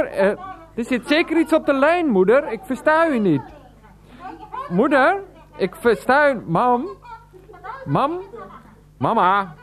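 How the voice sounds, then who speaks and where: Flustered son Freek. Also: male voice, sits high. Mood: frustrated. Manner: stammering, exasperated, bursts into laughter. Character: flustered adult son Freek phoning his mother. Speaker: character in a radio drama. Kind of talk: 1990s radio play acting.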